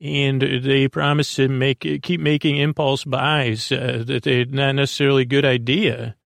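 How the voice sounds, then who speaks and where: Gravelly man rambling softly at bedtime. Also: medium pitch, flat delivery, no echo, clean studio sound. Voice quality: gravelly, creaky. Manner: halting, meandering, trailing off mid-thought. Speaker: host of a bedtime sleep podcast. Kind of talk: rambling, soothing monologue. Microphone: close podcast microphone, dry.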